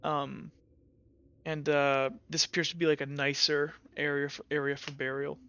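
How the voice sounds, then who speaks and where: A man running a tabletop game as game master, narrating scenes with many pauses and restarts. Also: fairly high pitch, little pitch movement, sharp tone, clear, resonant tone, no room sound, muffled recording. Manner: hesitant, full of ums and restarts.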